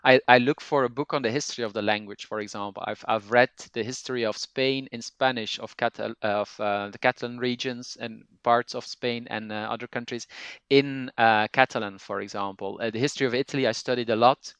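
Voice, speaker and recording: A man with a Flemish accent, a native Dutch speaker, speaking English as a language teacher and podcaster who explains things and thinks aloud with pauses. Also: medium pitch, steady tone, fairly bright tone, smooth, dry recording. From Belgium. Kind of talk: conversational interview.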